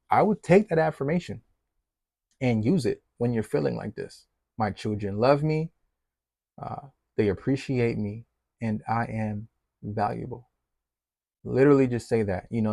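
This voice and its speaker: Man, Jamaican accent, easygoing chatty coach, fillers, mid pitch, dead dry room. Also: no echo. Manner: relaxed, conversational, many fillers, laughs easily. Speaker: fatherhood coach. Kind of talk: casual motivational talk.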